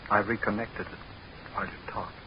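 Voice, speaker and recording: Guy playing a grim resigned would-be killer, old radio horror drama, low-ish voice, hissy muffled recording. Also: flat delivery, brisk, close to an everyday voice. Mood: grim confession. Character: embittered male doctor, would-be assassin, resigned, brooding.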